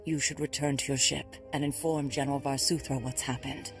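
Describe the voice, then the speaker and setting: A man voicing a weary, wise Jedi master in a video game, measured and formal. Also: medium pitch, level tone, lightly acted. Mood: solemn. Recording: music playing behind.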